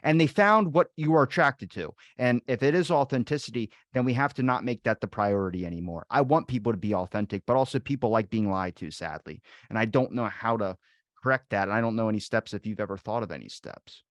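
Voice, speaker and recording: A man hosts a casual podcast chat with a somewhat low voice. He wanders through his questions with lots of restarts and self-deprecating asides. The sound is close and dry. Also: fairly quick, dry recording. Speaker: podcast host.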